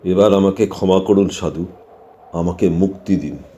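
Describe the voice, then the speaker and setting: A man voices a fearsome ghost in a horror audio story, humbly begging for release. The voice is low and very dark, in a slightly muffled recording. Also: fairly even intonation, moderate pace, lightly acted. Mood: pleading. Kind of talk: horror audio drama acting.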